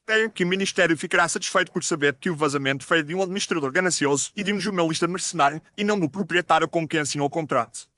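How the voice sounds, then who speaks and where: An actor playing a stern, righteous police inspector, formal and accusing, in an audio mystery story. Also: male voice, fairly high pitch, rapid-fire, few pauses, bright, forceful tone, no echo.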